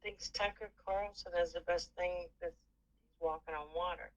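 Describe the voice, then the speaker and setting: A middle-aged aunt chatting with her nephew, telling a story in an animated, indignant way. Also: fairly low pitch, moderate pace, muffled recording. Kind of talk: casual phone chat.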